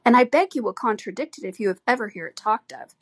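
A woman's voice: fairly high pitch, lively intonation, quick pace, husky, pitched above the performer's own voice. A woman reading aloud, voicing a silly, vain young woman who simpers with affected earnestness. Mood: simpering.

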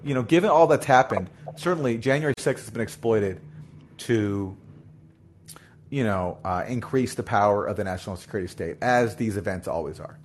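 A man hosting a call-in show, answering callers in a measured, deliberate way, point by point. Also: on the low side, expressive, a little hiss or hum. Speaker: show host.

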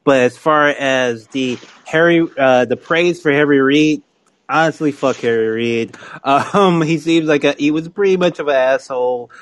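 A man's voice: fairly high pitch, a little slow, no room sound. A man hosting a call-in podcast, talking casually and bluntly and laughing often. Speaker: podcast host.

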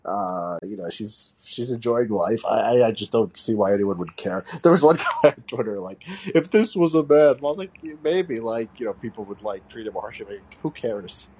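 A man's voice: lowish voice, lively intonation, normal speed, few pauses, a little mellow, a little hiss or hum, low-quality recording. A man co-hosting a podcast, rambling casually with lots of "like" and "you know". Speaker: podcast co-host. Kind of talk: casual chat.

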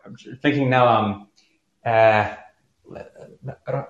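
A man's voice: mid-range voice, steady tone, takes it slowly, muffled recording. A young man hosting a call-in podcast, talking casually and thinking aloud with many fillers and restarts. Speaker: podcast host taking calls. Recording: roomy echo.

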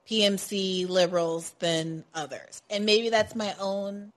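A woman hosting a political talk podcast, animated and sarcastic, building into quick rants. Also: mid-range voice. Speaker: podcast host.

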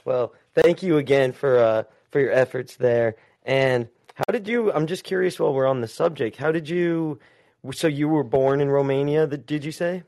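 A man's voice: mid-range voice, moderate pace, a little mellow. A man hosting a casual call-in interview, friendly and supportive, backing the guest up with frequent "yeah" and "uh-huh". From United States. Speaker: interview host.

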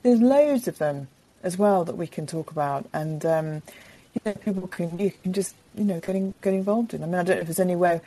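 A woman's voice: fairly low pitch, fairly quick, warm tone. A woman co-hosting a podcast in casual chat, talking in rambling, filler-heavy sentences. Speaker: podcast co-host.

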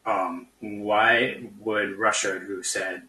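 In an echoey room, somewhat far from the mic, a man argues politics casually. His voice is lowish but bright and forceful, and he talks in a halting, skeptical way. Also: steady tone, a little hiss or hum. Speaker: podcast guest caller.

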